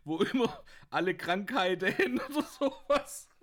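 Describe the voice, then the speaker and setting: A man's voice: high-pitched, lively intonation, measured pace, fairly bright tone. A young man telling rambling anecdotes casually, often laughing at his own stories. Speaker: podcast host.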